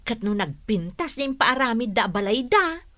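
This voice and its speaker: An actress playing a cheeky, teasing young niece in a radio drama. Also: female voice, a little high, sing-song, normal speed, pushed and bright, no room sound.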